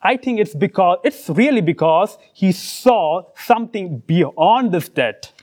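A man with an Indian accent delivers an earnest sermon in English, his voice sitting unusually high for a man. He speaks in short phrases and pauses after each one so an interpreter can follow. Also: lively intonation, a little mellow. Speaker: preacher.

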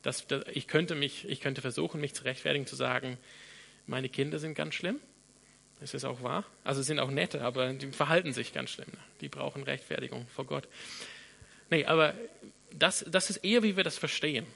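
Gravelly pastor with an Australian accent. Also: male voice, mid-range voice, brisk, bright, forceful tone. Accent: Australian English. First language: English. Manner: thinking aloud with restarts and repeated words. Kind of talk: sermon.

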